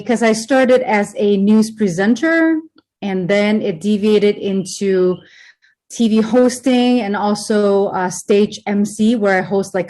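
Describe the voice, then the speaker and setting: A woman from Hong Kong who grew up in the US talks as a podcast guest, speaking into her home computer. Her voice sits at a middle pitch, and she chats loosely with many fillers and restarts. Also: a little mellow. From Hong Kong, raised in the US. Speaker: guest, presenter and communication coach. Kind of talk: casual interview talk. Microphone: home computer on a video call.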